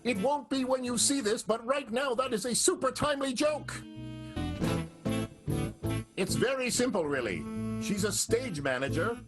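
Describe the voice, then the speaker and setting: Man, high voice, theatrical radio host, playful, dramatic, music behind. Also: expressive, unhurried, long pauses. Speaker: host and narrator. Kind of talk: theatrical radio show announcing.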